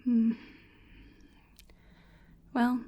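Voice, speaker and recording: A woman playing a hesitant, curious creature researcher in a soft-spoken, whispered ASMR exam roleplay, musing gently and apologetically. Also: fairly high pitch, monotone, drawn-out words.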